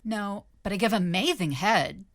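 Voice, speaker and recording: A woman's voice: high voice, expressive, unhurried, pushed and bright, a little hiss or hum, heavily acted. A woman playing a starstruck, naive eighteen-year-old groupie in a comedy story reading. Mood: starstruck, eager.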